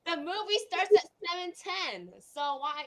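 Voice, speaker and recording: Slow-talking woman with a high, halting voice. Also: expressive, sharp tone, a little hiss or hum. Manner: halting, repeats words and phrases. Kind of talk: casual chat.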